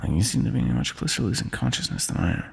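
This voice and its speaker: A man voicing a wry, wounded fantasy ruler who teases an old comrade in a calm, dry way, in an ASMR roleplay. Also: low-pitched, fast talker, gravelly, slightly rough, full character voice. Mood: dry teasing. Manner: dry, teasing, calm understatement.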